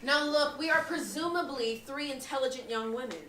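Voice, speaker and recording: An actress playing a brash, dramatic young woman roommate in a live stage comedy, sassy and loud. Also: high voice, fairly quick. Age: young adult. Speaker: stage actor. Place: small theatre stage. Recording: roomy stage, distant mic, faint noise.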